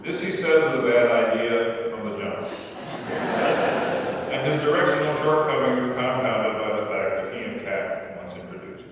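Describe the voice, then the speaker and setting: A man's voice: mid-range voice, expressive, normal speed. A man giving a scripted reading at a memorial service. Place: large church hall, indoors. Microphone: far from the speaker. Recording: echoey church hall, distant mic, noisy.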